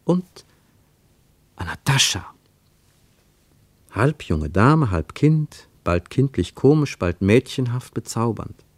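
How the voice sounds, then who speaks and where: A man narrating a radio drama in a measured, expressive literary storytelling manner. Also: mid-range voice, no room sound.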